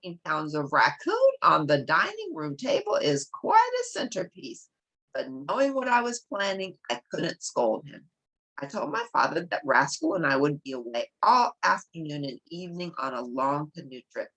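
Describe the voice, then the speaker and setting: A woman's voice: sits low, very animated, normal speed, a bit bright, rough recording. A woman reading a children's novel aloud as a storybook narrator. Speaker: storybook reader.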